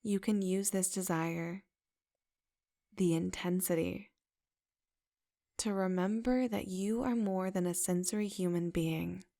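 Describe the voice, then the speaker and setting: Brief: woman, meditation guide, very slow delivery, gravelly fry, soft affirming tone. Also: lowish voice.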